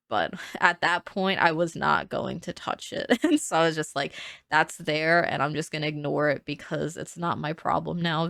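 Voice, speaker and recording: Low, gravelly, nasal young woman. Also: a bit bright, no echo, studio quality. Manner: chatty, rambling, laughs often. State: congested. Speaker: Sims builder narrating a speed build. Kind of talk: casual voice-over commentary.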